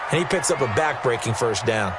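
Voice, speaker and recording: A man's voice: mid-range voice, lively intonation, brisk, heavy background noise, natural voice. A man playing a chatty, folksy football color analyst, explaining plays in an emphatic, conversational way. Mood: emphatic, explanatory. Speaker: color commentator. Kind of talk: sports broadcast analysis. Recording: loud crowd and music behind voice. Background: stadium crowd and music.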